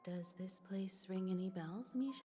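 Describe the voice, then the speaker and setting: A woman's voice: medium pitch, normal speed, mellow, faint music behind, close to an everyday voice. An actress playing a composed, courteous young woman traveller in a video game, explaining things politely. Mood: kindly inquiring.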